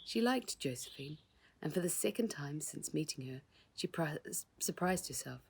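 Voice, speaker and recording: A woman's voice: deep voice, expressive, normal speed, vocal fry, a little hiss or hum. A woman reading a children's storybook aloud as scripted narration, as its author.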